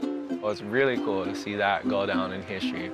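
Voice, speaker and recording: A man's voice: low-pitched, steady tone, moderate pace, loud music behind the voice. A man from Hawaii speaking earnestly and casually in an interview.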